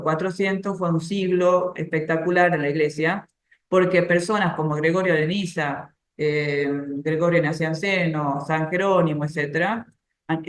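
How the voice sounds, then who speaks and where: A woman giving an informal faith talk, explaining ideas through everyday examples and soft laughs. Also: low-pitched, reverberant.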